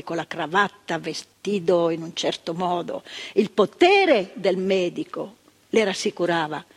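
A frank, earnest old psychiatric nurse with a lilt, as an older actress plays her on stage. Her voice is husky and breathy, and her pitch swings up and down as she tells her story. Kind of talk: stage monologue. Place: theatre stage.

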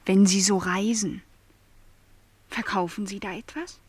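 An actress playing a shy but curious young housemaid in a radio play, speaking hesitantly and very politely. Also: female voice, mid-range voice, steady tone, unhurried, slightly rough, no room sound. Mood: curious, tentative. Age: young adult.

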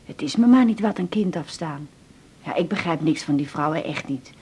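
A woman's voice: sits low, animated, normal speed, darkish tone, a little hiss or hum. An actress playing a doting, inquisitive grandmother in a radio drama, cooing and chatty. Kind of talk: 1980s radio drama acting.

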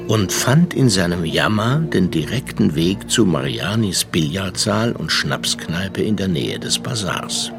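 An actor playing a seafaring narrator in a radio drama, telling the tale in a measured, literary manner. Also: male voice, fairly low pitch, vocal fry, exaggerated voice. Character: male seafaring narrator, measured, observant storyteller.

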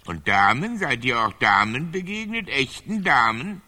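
An actor playing a curious, fussy knightly lord in a literary radio play, with an inquisitive manner. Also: male voice, mid-range voice, big pitch swings, unhurried, sharp tone, heavily acted. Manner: inquisitive, short probing questions.

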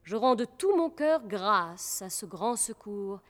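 A proud young princess declaims classical verse with haughty, ironic formality. Her pace is very slow and measured. The voice carries a long hall reverb. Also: mid-range voice, big pitch swings. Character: proud, disdainful young princess, coldly ironic toward suitors. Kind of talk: classical French verse comedy, theatrical declamation.

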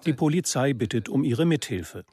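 An actor playing a formal, factual announcer reading an official police bulletin in a radio drama. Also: male voice, mid-range voice, lively intonation, moderate pace, a little mellow, husky. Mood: official, neutral.

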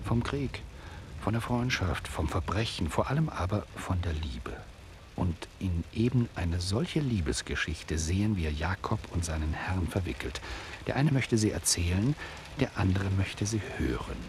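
A man playing a musing storyteller who narrates a literary radio play in a measured, theatrical way. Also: low voice, animated, noisy background. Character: storytelling author, musing and self-aware narrator.

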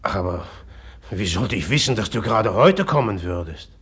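A man's voice: on the low side, animated, normal speed, fairly bright tone, full character voice. An actor in a 1950s radio play voicing a guarded, moody young man who stalls and laughs nervously. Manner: hesitant and evasive, with nervous laughs. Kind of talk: 1950s radio drama acting. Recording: old radio play, husky tone.

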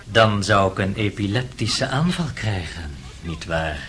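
A man's voice: fairly low pitch, animated, measured pace, fairly bright tone. A man playing a sly, obsequious manservant with sinister cunning in a radio play, speaking in an insinuating manner. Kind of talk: Dutch radio play acting.

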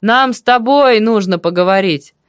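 A woman voicing a feisty, impatient young village woman shouting in short emphatic phrases, in an audiobook story. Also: medium pitch, moderate pace, clean tone, dry recording, studio quality, voice pushed up, natural voice. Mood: exasperated shouting.

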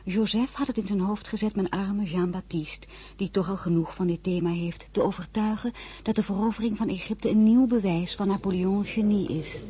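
A woman's voice: medium pitch, steady tone, moderate pace, mellow, close to an everyday voice. An actress playing a candid young French bride who narrates her memoirs in a radio drama. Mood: wry, reflective.

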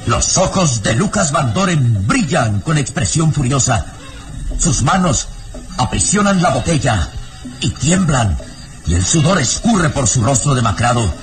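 A man's voice: mid-range voice, big pitch swings, unhurried. Male radio drama narrator over music. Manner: declamatory, vivid.

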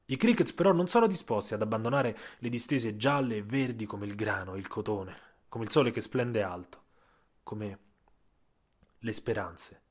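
A man tells a scripted audio story for radio in a mid-pitched voice. His delivery is literary and dramatic, shaped by deliberate pauses between phrases. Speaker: narrator, storyteller.

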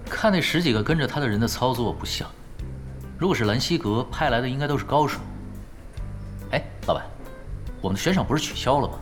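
An actor playing a laid-back, sly young veteran gamer in an audio drama, unruffled, wry and teasing. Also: male voice, medium pitch, expressive, quick pace, long pauses, soft background music, close to an everyday voice. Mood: explanatory.

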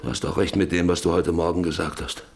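A man's voice: sits low, little pitch movement, fast talker, vocal fry, a little mellow. A man acting a troubled, domineering rancher and father in a German radio drama, curt and insistent. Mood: remorseful, shaken.

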